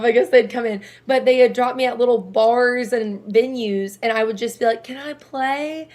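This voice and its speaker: A young woman singer with a low, raspy voice, chatting casually and laughing often as an interview guest. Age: young adult.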